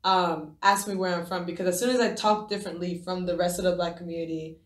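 A woman's voice: lowish voice, steady tone, fast talker, reverberant. A woman in her twenties from Japan, a podcast guest telling her story in a casual, rambling way with many restarts. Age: 20s.

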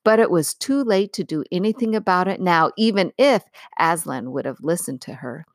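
A woman narrating a storybook as an audiobook reader, with measured, expressive phrasing. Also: lowish voice, no echo, clean studio sound.

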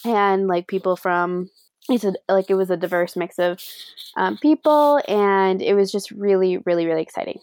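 A young woman co-hosting a casual podcast, chatting in a rambling, animated way with lots of fillers and a few Spanish words mixed in. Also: medium pitch, dry recording. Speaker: podcast co-host. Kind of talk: casual chat. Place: lives in Madrid.

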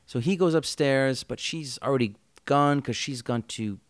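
A man co-hosting a podcast in a relaxed review chat, talking at a middle pitch. He fires off quick asides and laughs easily. His voice sounds dry and close to the mic. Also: dry recording. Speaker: podcast co-host.

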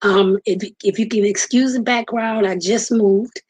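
A woman in her forties hosting her own podcast, telling her personal story earnestly with many pauses and fillers. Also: medium pitch, steady tone, a little mellow, breathy edge. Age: 40s. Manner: earnest, halting with many ums. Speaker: podcast host. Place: home, just moved in.

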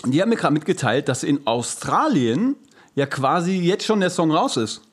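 A man's voice: fairly high pitch, big pitch swings, normal speed, husky. A man co-hosting a podcast in casual chat, talking colloquially with bits of English slang. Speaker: podcast co-host.

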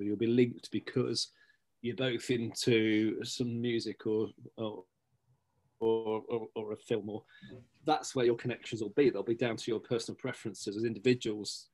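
Middle-aged guy rambling on in an interview, ums and restarts everywhere, ordinary pitch. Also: darkish tone. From Scotland-born, raised in Stapleford, England. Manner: rambling, many fillers and restarts. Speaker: guest, local magazine editor. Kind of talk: casual interview chat.

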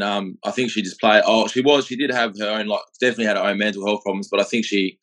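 Flat-voiced Australian man telling his story. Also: lowish voice, poor audio. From Australia (Perth). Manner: plain-spoken, rambling, with restarts and mild swearing. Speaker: guest, gym owner and boxer. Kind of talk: casual storytelling in an interview.

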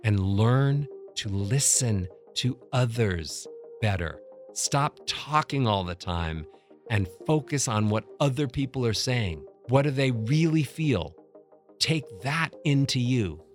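A middle-aged man teaching as a voice coach, emphatic and demonstrating how to change loudness and pitch. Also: animated, slow, faint music behind. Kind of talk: motivational teaching talk.

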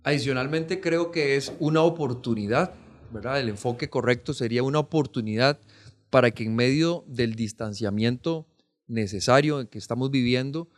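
A man's voice: mid-range voice, moderate pace. Earnest Costa Rican man explaining things. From Costa Rica. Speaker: podcast guest, foundation programme representative. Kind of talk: conversational explanation on a podcast.

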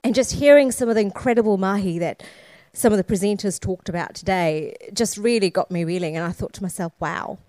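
A woman's voice: mid-range voice, a little fast, hardly any pauses, darkish tone, no room sound. Woman keynote speaker, dry close sound. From born in Sri Lanka, raised in Scotland and New Zealand. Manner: rhetorical questions to the audience, emphatic repetition. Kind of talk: conference keynote speech.

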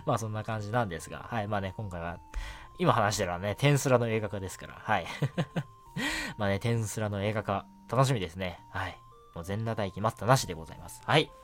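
A man's voice: on the low side, animated, fast. A man hosting a casual solo radio show, chatting politely with many small filler particles. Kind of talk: casual solo radio talk about anime. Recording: music bed under the voice. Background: background music.

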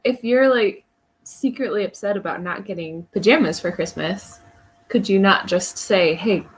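A woman's voice: mid-range voice, moderate pace, husky, low-quality recording. A woman co-hosting a casual podcast, teasing and laughing often. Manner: giggly, teasing. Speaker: podcast co-host. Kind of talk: casual chat.

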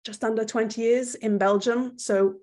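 A woman's voice: on the high side, steady tone, normal speed, darkish tone. A woman whose first language is French, speaking as an opinionated, emphatic guest in a conversational interview, often restarting her sentences. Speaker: guest, content creator.

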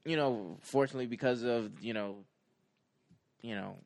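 A man in his twenties in casual conversation, haltingly circling back over his phrases as he makes a point. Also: mid-range voice, creaky. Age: 20s. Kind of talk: casual chat.

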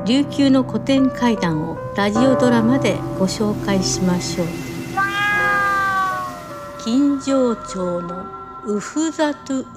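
Woman announcer, medium pitch, unhurried scripted title reading, loud music. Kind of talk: scripted programme introduction and credits. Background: loud background music.

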